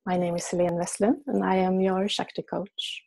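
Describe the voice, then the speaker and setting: Woman, Swedish accent, host, mellow, gentle, welcoming. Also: lowish voice, level tone, moderate pace, creaky. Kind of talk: interview hosting.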